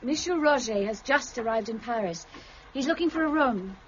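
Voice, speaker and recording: An actress playing a patient, wry sister in a period radio drama, polite and formal as she gently chides. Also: fairly high pitch, animated, moderate pace, muffled recording, a little hiss or hum, close to an everyday voice.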